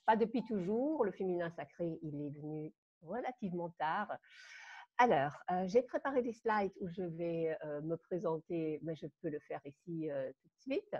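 Brief: woman in her fifties, German accent, husky low voice, animated guest on a muffled webcam call. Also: normal speed. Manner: animated, laughs between phrases, frequent hesitations. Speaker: guest dance teacher. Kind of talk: personal storytelling on a live call. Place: home room. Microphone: webcam on a video call. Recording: muffled video call audio.

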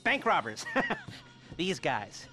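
An actor playing a smug, sardonic middle-aged heist planner in a video game, talking haltingly with muttered asides and nervous chuckles. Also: male voice, high voice, huge pitch swings, heavily acted. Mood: pleased, instructive. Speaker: mission briefer. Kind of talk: video game cutscene acting. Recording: music behind the voice.

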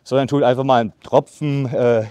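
A man in his thirties demonstrating a saw outdoors in casual talk, a bit out of breath, with many fillers and pauses. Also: medium pitch, fairly even intonation, mellow, no echo. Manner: chatty, filler-heavy, pauses while sawing. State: slightly out of breath from sawing. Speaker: bushcraft channel host. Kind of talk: casual product demo. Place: outdoors in a leafy meadow by trees. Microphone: far camera on a tripod.

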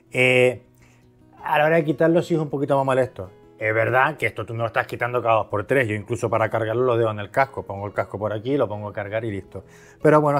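A man from Spain giving a casual, conversational product review, explaining things by asking and answering his own questions. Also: medium pitch. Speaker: video host, product reviewer.

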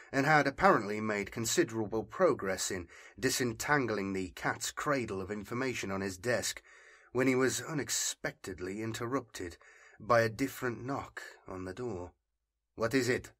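A man's voice: on the low side, lively intonation, moderate pace, fairly bright tone. A man narrating scripted fiction as an audiobook reader, telling the story dramatically.

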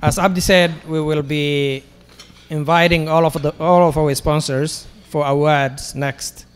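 A man hosting a ceremony, announcing sponsors in a slow, even manner into a handheld mic. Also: a little high, slight background noise. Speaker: event host. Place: large hall. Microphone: handheld microphone. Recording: echoey hall, heard from a distance.

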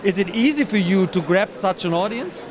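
A man's voice: high voice, expressive, a little fast. A middle-aged man, a native German speaker, working as a reporter doing on-site event interviews. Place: busy hotel convention lobby. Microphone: handheld mic with furry windscreen. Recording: busy crowd chatter around him.

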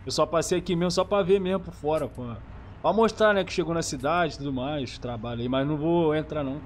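A man's voice: a little high, fast, slight background noise. A Brazilian man giving casual, enthusiastic gameplay commentary full of slang, speaking into a gaming headset. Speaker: streamer, host of the channel.

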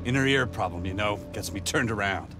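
A man's voice: lowish voice, fairly quick, over loud music, close to an everyday voice. An actor playing a bumbling, defensive male mob henchman from New Jersey in a video game, full of sheepish excuses.

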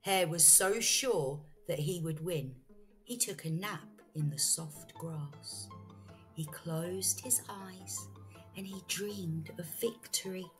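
A woman storyteller reading a children's bedtime story aloud in an expressive, deliberate way. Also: lowish voice. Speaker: storyteller, teacher. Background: faint music.

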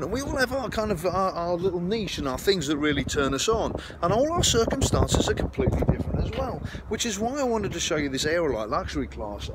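Casting: British man, middle-aged, lively slightly high voice, casual rambling salesman vlogging on a phone with noticeable ambient noise. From United Kingdom. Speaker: RV salesman presenting a vlog. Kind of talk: casual walk-through product pitch to camera. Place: RV sales lot, outdoors and inside trailers. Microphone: phone at arm's length. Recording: handheld recording with clear ambient noise.

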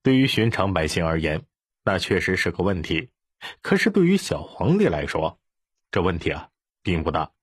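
Male audiobook narrator: low register, lively dramatic delivery, close dry recording. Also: moderate pace, breathy edge, no room sound. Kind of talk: scripted dramatic story narration.

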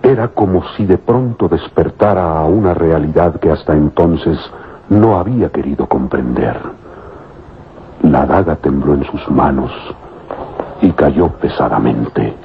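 A man narrating an old radio serial in a solemn, measured, dramatic style. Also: a bass voice, a little hiss or hum. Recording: tinny old radio recording with music.

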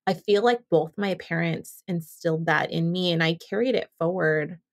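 Creaky-voiced woman podcast host. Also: lowish voice, moderate pace. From Southern California. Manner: rambling, thinks aloud with many fillers. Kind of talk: casual interview chat.

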